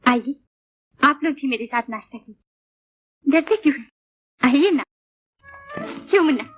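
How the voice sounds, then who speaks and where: An actress playing a bold, spirited young daughter, assertive and teasing, in 1950s Hindi film style. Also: female voice, high-pitched, lively intonation, unhurried. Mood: firm, hospitable. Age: young adult. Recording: old 1950s film soundtrack with music.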